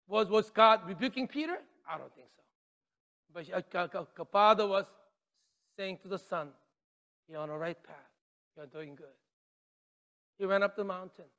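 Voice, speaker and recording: Middle-aged male pastor, very high voice, animated sermon delivery, long church-hall reverb. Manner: animated, gesturing widely, swings from loud declarations to near-whispers. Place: church stage with drums and podium.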